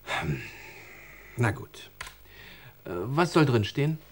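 A man's voice: medium pitch, big pitch swings, slow pace, a little hiss or hum. A man playing a busy, mildly baffled but indulgent father in a children's radio play. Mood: distracted.

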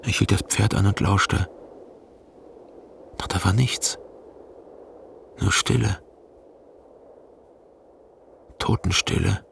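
An actor in a German radio play playing an older man mourning his friend, anguished, halting and full of remorse. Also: fairly low pitch, fairly even intonation, creaky, a bit bright, a little hiss or hum, heavily acted. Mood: uneasy, hushed. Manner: anguished, halting, breaking into whispers and wailing.

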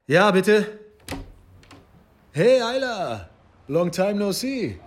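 A man's voice: sits high, sing-song, drawn-out words, over music, exaggerated voice. Flippant, casual male police detective. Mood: teasing, surprised. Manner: casual, teasing, colloquial. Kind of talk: radio drama acting.

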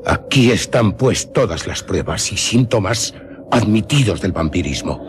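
An actor with a Spain accent playing a solemn official, formal and grave, in a radio drama. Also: male voice, lowish voice, animated, unhurried, soft background music. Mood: solemn, grim. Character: solemn official inspecting a vampire's corpse.

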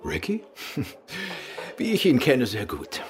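An actor playing a courteous, composed gentleman detective and affectionate husband in a German radio play, with a polite, reassuring manner. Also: mid-range voice, wildly swooping pitch, slow, faint music behind. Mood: light, amused.

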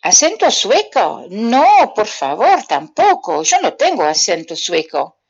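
A woman's voice: medium pitch, wildly swooping pitch, slow pace, husky, muffled recording. Playful Belgian woman teaching children on radio. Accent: Belgian French. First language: French. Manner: chatty, asks children riddle-like questions and answers them herself. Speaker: French teacher on community radio.

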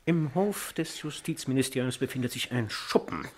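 Guy doing a fussy old court minister, formal and stagey, mid-pitched male voice, radio play. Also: expressive, moderate pace, a little hiss or hum, natural voice. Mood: scheming, officious. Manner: stiff, theatrical, formal. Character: indignant, obsequious justice minister, an old courtier. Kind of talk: German radio play acting.

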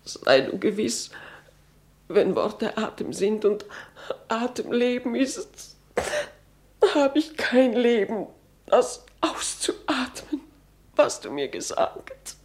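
Anguished middle-aged queen, high and extremely slow, solemn, halting delivery for classical radio drama. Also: lively intonation, darkish tone.

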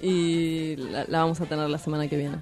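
A woman's voice: deep voice, flat delivery, normal speed, darkish tone, soft background music. A woman with a Rioplatense Argentine accent co-hosting an informal radio show and reading out items. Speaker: radio co-host. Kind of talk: casual radio chat, reading listener messages.

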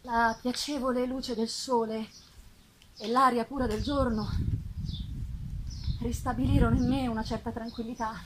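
A woman reading gothic fiction in a measured, expressive, dramatic narration. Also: on the high side. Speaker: reader. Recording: live festival recording with background noise.

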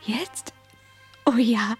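A woman's voice: on the high side, drawn-out words, soft background music. A woman acting a curious, playful teenage schoolgirl and younger sister in a 1970s German radio play. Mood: playful, dreamy.

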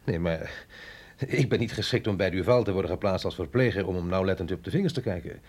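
Sceptische agent met schorre stem. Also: male voice, sits low, quick pace, a little mellow, breathy edge. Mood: wary. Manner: hesitant, questioning, wry. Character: sceptical, wry male agent, an outsider out of his depth. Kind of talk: radio drama acting.

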